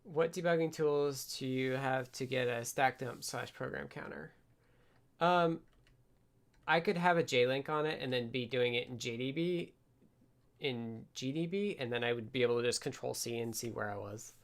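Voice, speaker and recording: Male livestream coder, mid-pitched with noticeable vocal fry. Casual, halting, half-muttered thinking aloud. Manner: halting, muttering to himself while working. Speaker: livestream host, programmer. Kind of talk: casual live coding stream, thinking aloud. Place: indoors at a desk with computer.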